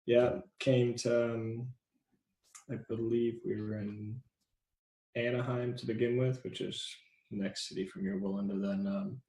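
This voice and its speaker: Man, late twenties, from Southern California, relaxed guest, low flat voice, echoey room. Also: a little slow. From Southern California, born in New Zealand. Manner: relaxed, many ums and yeahs. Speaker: guest, speedway rider. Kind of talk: casual interview chat. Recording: roomy echo.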